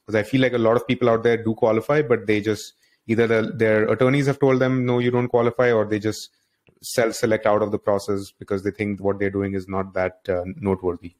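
A man's voice: lowish voice, flat delivery, fairly quick, a little mellow. A man hosting a podcast interview in a conversational style.

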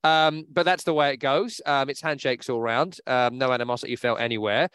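A man's voice: medium pitch, steady tone, a little fast, fairly bright tone, clear, resonant tone, dry recording. Chatty man hosting a podcast. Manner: chatty, upbeat, with many fillers. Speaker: podcast host. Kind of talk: casual podcast chat. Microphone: remote podcast mic.

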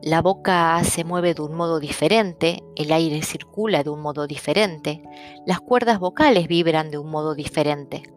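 A middle-aged woman from Argentina with an Argentine accent, calmly explaining things in a personal talk. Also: low-pitched, moderate pace, soft background music. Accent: Argentine Spanish. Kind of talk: personal talk on stuttering and languages.

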